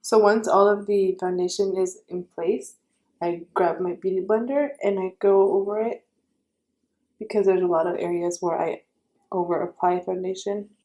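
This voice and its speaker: A young woman with a raspy voice giving a chatty makeup tutorial, correcting herself and offering friendly advice. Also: on the low side, fairly even intonation, normal speed, mellow, low-quality recording. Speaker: beauty vlogger.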